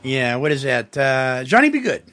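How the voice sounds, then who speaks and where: A man's voice: mid-range voice, very animated, moderate pace, music in the background. A man hosting a talk radio show, rambling with fillers while fighting a cold. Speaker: radio host. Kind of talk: casual talk radio chat.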